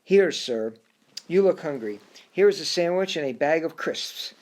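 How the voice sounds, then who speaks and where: A formal, unhurried male frog with an English accent, put on by a man reading a storybook. He speaks in a higher, brighter register than the narrator, patient and courteous, and keeps saying sir. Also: lively intonation. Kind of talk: audiobook storytelling.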